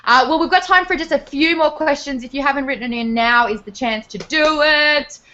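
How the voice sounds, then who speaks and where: Brief: woman host, fairly high, upbeat, leading a live Q&A, slightly muffled audio. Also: fairly quick, sharp tone. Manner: upbeat, playful, moderates audience questions. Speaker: interview host. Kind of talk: hosting a live panel interview.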